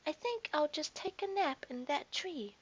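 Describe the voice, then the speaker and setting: Woman voicing a plucky, high-pitched little lion cub. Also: animated, measured pace, dull audio. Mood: musing. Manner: bold, self-important, exclaiming.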